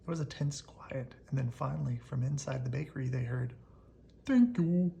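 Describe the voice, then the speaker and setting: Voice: medium pitch, fairly even intonation, a little fast, gravelly, dark tone. A storybook reader narrating expressively aloud for children, as if at bedtime.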